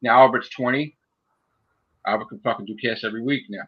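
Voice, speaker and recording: A man's voice: mid-range voice, steady tone, a little fast, husky, lo-fi sound. A man hosting a casual group chat, rambling and swearing easily as he tells stories. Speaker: host.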